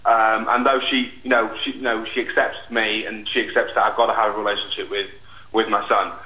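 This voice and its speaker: A young man calling a phone-in, giving an earnest, halting personal account. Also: lowish voice, level tone, pushed and bright, echoey room, low-quality recording. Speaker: phone-in caller. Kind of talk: personal account on a live call.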